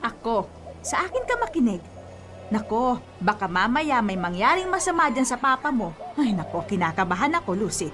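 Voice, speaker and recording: A woman's voice: fairly high pitch, sing-song, moderate pace. An actress playing a bossy, superstitious grandmother in a radio drama, scolding and fretful. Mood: anxious, insistent. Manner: scolding, commanding. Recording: music behind the voice.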